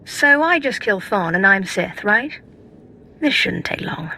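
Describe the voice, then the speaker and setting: A woman's voice: medium pitch, lively intonation, unhurried, sharp tone, slight background noise. An actress voicing a defiant young female Sith acolyte who talks coolly. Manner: cool, defiant.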